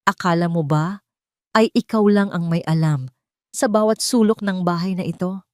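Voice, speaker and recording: A woman acts out a wronged mother in an audio drama. She is defiant and coldly determined, with a lowish voice and a dry, close sound. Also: normal speed, a little mellow, no echo, natural voice. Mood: triumphant, accusing. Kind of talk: Tagalog audio drama dialogue.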